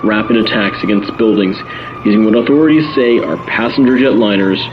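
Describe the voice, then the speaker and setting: A man playing a serious television news reporter in an audio drama, measured and formal. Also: on the low side, close to an everyday voice. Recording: muffled broadcast, sirens and noise behind.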